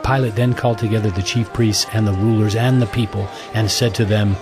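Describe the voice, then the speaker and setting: A man giving measured, solemn scripted narration of scripture. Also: on the low side, fairly even intonation, a crowd in the background. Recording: prominent music bed.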